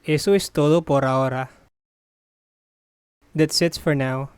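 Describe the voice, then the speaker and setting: Slow deliberate teacher voice, phrase-by-phrase drill, dry close sound. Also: mid-range voice, steady tone, clear, resonant tone, no room sound. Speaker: Spanish teacher.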